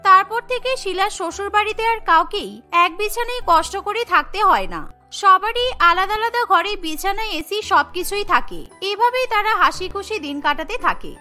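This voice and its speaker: Woman, cartoon story narrator, very high voice, bright, lively intonation, music behind. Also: normal speed.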